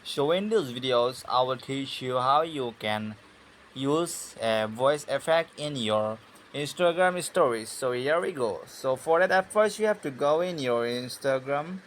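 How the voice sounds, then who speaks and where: A man giving a casual tutorial voice-over in a halting, hesitant and repetitive manner. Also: medium pitch, animated, slight background noise. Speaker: tutorial host.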